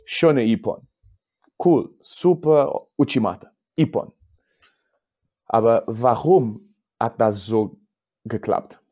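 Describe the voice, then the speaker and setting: A man's voice: mid-range voice, animated, dark tone. A man with a Portuguese accent speaking as a non-native, a judo coach answering casually in an interview and searching for words. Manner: halting, searching for words in non-native German, repeats himself.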